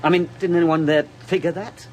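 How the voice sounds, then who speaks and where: A man's voice: on the high side, fairly even intonation, fairly quick, darkish tone, lightly acted. A man acting in an audio drama as an arrogant, zealous research scientist with a cutting, condescending manner. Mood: insistent.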